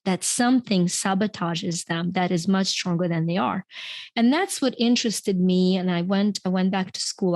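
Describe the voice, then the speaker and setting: A woman's voice: fairly low pitch, dry recording. A middle-aged woman from Ukraine, a podcast guest telling her story in a chatty way, often laughing mid-sentence. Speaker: podcast guest, author and coach. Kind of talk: conversational interview storytelling.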